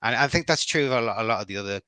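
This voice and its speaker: A man's voice: mid-range voice, animated, racing, sharp tone, no room sound. A middle-aged man from Liverpool with a Liverpool accent, chatting as a podcast guest, hesitant and often repeating words. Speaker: guest fan. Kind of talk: casual podcast chat.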